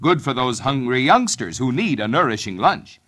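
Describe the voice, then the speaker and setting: A male announcer delivers a vintage radio commercial. His medium-pitched voice moves up and down in a lively sales read. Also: normal speed. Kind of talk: old-time radio advertising read.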